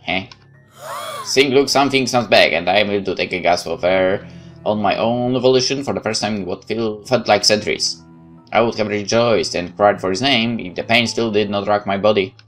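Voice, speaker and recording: A man reading a horror visual novel aloud as a gaming host, with dramatic, expressive delivery and exclamations. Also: lowish voice, normal speed. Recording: music behind the voice.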